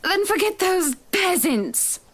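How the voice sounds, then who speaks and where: High-voiced, doting, haughty wife. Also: female voice, sing-song, slow pace, a bit bright, full character voice. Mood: disdainful. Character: possessive, doting, haughty rich young wife. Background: faint music.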